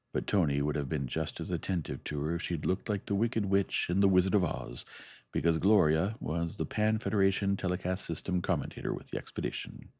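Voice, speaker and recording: A man narrating a story in a gentle, calm, unhurried way meant to soothe. His voice is extremely low and gravelly with a husky, breathy texture. The sound is close and dry, with no room around it. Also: no room sound. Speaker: audiobook reader. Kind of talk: gentle ASMR story reading.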